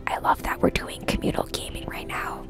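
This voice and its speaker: A woman whispering breathily and chattily to viewers on a casual ASMR gaming live stream. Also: moderate pace. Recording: music behind the whispering. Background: clear background music.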